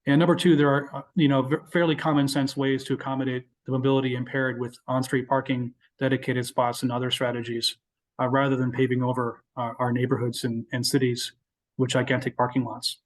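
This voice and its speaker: A man answering questions as a guest presenter at a webinar, explaining in a halting way with repeated words. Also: mid-range voice, flat delivery, rough recording.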